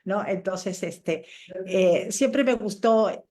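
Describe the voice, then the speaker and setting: A woman's voice: medium pitch, drawn-out words, mellow. A middle-aged woman from Chile and Mexico answering casually in an interview, telling anecdotes in a conversational way. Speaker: interviewed scientist guest.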